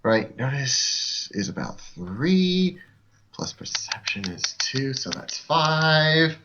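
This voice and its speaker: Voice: mid-range voice, very animated, slow pace, background noise. A player chatting casually and asking rules questions during a tabletop roleplaying game, on a lo-fi recording.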